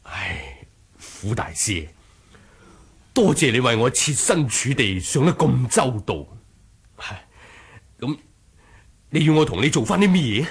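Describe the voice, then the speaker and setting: An actor playing a proud, wary martial master in a 1980s radio drama, husky, with theatrical grunts and sighs. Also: male voice, medium pitch, very animated, measured pace, long pauses, heavily acted. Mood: wary, relenting.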